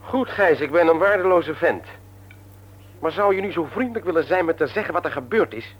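An actor playing a stern, patronising man in authority in a radio drama, lecturing emphatically in a husky voice. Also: a little high, animated, brisk, highs cut off. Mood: indignant, uneasy. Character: stern, patronising older brother and works supervisor, adult man.

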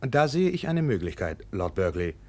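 A man's voice: lowish voice, very animated, brisk. An actor in a German radio play playing a matter-of-fact, easily exasperated businessman who defends himself. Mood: measured, dry.

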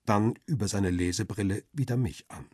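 Middle-aged narrator-hero of radio crime play: rough-edged lowish voice, wry, measured. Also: male voice, darkish tone, no echo, clean studio sound. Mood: wry, reflective. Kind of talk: first-person radio drama narration.

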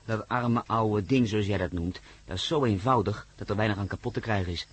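An actor playing a practical, proud and easygoing bush pilot in a radio play, with a husky voice. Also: male voice, on the low side, brisk. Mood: confident, easygoing. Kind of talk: radio drama dialogue.

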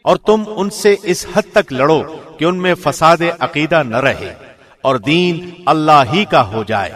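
A man reads a scripture translation from a script in a measured, formal way. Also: on the high side, expressive, a bit bright. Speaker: reader of Urdu Quran translation.

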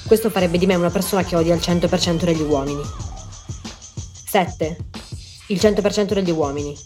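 A woman narrating a scripted first-person monologue as a numbered list, in a deadpan, sardonic manner. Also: fairly low pitch, brisk, faint music behind. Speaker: podcast writer and narrator.

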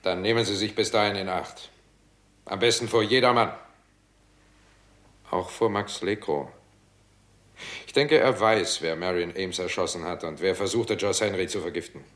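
An actor playing a shrewd, dryly sardonic police inspector in a 1960s German radio crime drama. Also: male voice, low voice, normal speed, pauses often, fairly bright tone. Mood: thoughtful. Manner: dry, musing, sardonic. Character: wry, shrewd male police inspector in Hollywood.

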